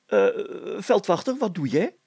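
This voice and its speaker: A man voicing a pompous, excitable village mayor in an audiobook story, declaiming in grand, solemn phrases. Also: high-pitched, a little slow, slightly rough, no room sound, pitched above the performer's own voice, full character voice. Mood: reproving.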